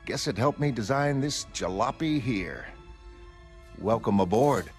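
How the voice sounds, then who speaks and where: An actor playing a mild, self-doubting middle-aged American retired crime fighter in a motion comic, talking in a hesitant, reflective way. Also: male voice, mid-range voice, expressive, unhurried, faint music behind, lightly acted. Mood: earnest, matter-of-fact. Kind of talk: dramatic motion comic character acting.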